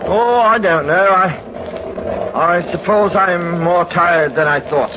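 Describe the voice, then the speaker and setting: A man's voice: sits high, takes it slowly. A man playing a tired cavalry lieutenant of the First World War in an old-time radio drama. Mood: weary, uneasy. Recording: muffled, noisy old radio recording.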